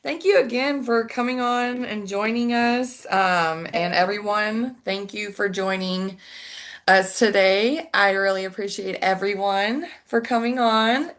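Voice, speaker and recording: A woman's voice: medium pitch, fairly bright tone. A woman hosting a casual interview podcast, chatty and effusive, rambling through her thoughts over a video call. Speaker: podcast host.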